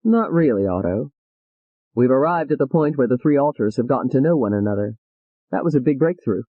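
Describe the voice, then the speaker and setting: A woman voicing a calm, patient male psychiatrist who speaks soothingly. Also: a bass voice, husky, no room sound, phone-quality sound, exaggerated voice. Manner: soothing, measured.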